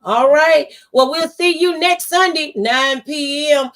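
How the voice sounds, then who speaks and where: A woman's voice: high-pitched, slow pace, a bit bright. A woman hosting a faith-based radio talk show, speaking in an encouraging, preacherly manner.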